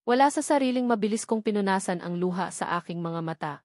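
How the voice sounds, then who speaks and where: A woman's voice: mid-range voice, expressive, quick pace, a little mellow, no room sound, clean studio sound. A woman reading scripted first-person novel narration as an audiobook narrator.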